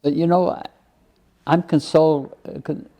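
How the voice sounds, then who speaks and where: A man in his eighties or older telling personal stories as an interview guest, plain-spoken and halting, often repeating words. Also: on the high side, little pitch movement, mellow. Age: over 80. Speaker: interview guest, retired minister. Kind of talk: reflective personal storytelling in an interview.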